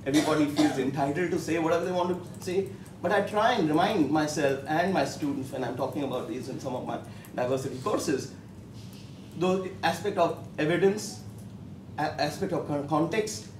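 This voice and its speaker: A man from India with an Indian accent, a professor answering on a panel in a measured way with many pauses and restarts. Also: fairly high pitch, expressive, a little hiss or hum. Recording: echoey hall, mic from far off.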